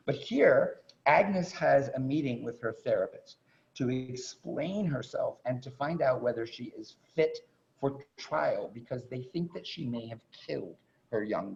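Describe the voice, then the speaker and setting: Guy introducing an actor, creaky voice, gushing, stumbles over words, muffled video call sound. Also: mid-range voice. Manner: effusive, halting with repeated words. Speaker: theatre director and teacher introducing performers. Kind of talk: informal spoken introduction. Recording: dull, lo-fi video call audio.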